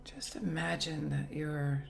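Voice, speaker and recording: Female meditation guide, low register, very slow and gentle delivery, faint music underneath. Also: little pitch movement, vocal fry. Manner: soothing, gentle, unhurried with long pauses. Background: soft background music.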